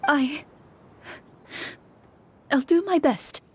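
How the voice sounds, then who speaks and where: Woman playing a troubled, hesitant townswoman, high voice. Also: extremely slow, slight background noise. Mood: worried, sad. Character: troubled, timid young townswoman worried for her husband.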